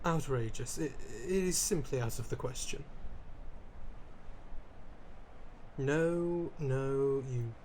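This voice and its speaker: A man's voice: medium pitch, measured pace, a little mellow, slight background noise, close to an everyday voice. A man playing a theatrical, fussy vampire aristocrat in an ASMR roleplay, with pompous old-fashioned wording, flustered stammers and dramatic emphasis. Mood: exasperated, indignant. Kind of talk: ASMR roleplay character acting, addressing the listener.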